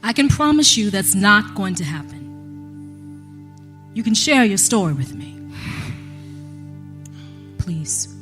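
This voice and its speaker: An actress playing a calm, persistent FBI special agent in a live audio drama, measured and coaxing as she questions. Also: medium pitch. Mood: reassuring, measured. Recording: live stage recording with music behind.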